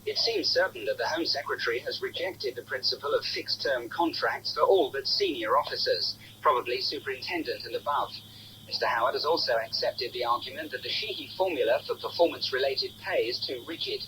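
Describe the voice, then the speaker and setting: A man's voice: medium pitch, huge pitch swings, a little fast, sharp tone. A man delivering a scripted report as a radio news correspondent. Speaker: home affairs correspondent. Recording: noisy old radio broadcast.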